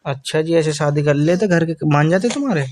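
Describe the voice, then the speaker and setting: A man's voice: on the high side, brisk, a little mellow, a little hiss or hum, natural voice. A man playing a defensive young husband in an audio drama, speaking in a colloquial, offhand, placating way. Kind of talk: improvised-sounding domestic dialogue in an audio story.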